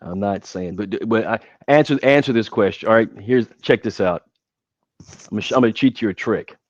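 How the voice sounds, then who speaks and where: A man's voice: fairly low pitch, a little fast, no room sound. A man with a Midwestern American accent, chatting as a podcast guest, rambling with many restarts and hesitations. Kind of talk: casual podcast chat. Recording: dull, dry sound.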